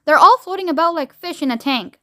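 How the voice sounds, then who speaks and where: Woman as an excitable, high-pitched male astronaut. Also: a little fast, dry recording, studio quality. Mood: alarmed. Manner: excitable, blurting, emphatic. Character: flustered, cheeky male astronaut reporting from orbit. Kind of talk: children's audiobook character voice.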